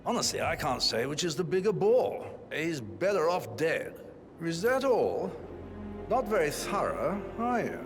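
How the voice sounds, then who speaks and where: An actor playing a cynical upper-class British family son in a video game, sardonic, blunt and dismissive. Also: male voice, fairly high pitch, big pitch swings, measured pace. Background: background music.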